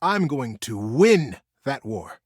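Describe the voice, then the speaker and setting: A man's voice: medium pitch, big pitch swings, slow pace, slightly rough, dry recording, clean studio sound, heavily acted. A man voicing a proud, serious young male beaver with a pompous, formal and emphatic manner in a story. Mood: indignant, boastful.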